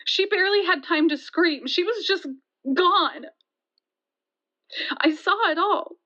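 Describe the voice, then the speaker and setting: A woman playing a guilt-ridden young caller to a radio show, telling her story in a halting, earnest, emphatic way on a dull line. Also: extremely high pitch, sharp tone, full character voice. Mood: anguished, guilty. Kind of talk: dramatic confessional storytelling in an audio drama.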